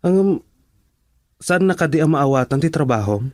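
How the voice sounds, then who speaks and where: A man's voice: fairly high pitch, lively intonation, normal speed, close to an everyday voice. A man playing an affectionate, earnest boyfriend in a radio drama, speaking tenderly with an Ilocano accent. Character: devoted boyfriend, documentary cameraman, affectionate and earnest.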